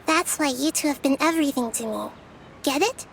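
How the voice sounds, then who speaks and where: High little goddess girl, calm n wise, kinda teasing, game cutscene. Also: vocal fry, slight background noise. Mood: serene, earnest. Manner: measured, speaks in riddles. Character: small serene childlike goddess, wise, gently teasing. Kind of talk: video game cutscene acting.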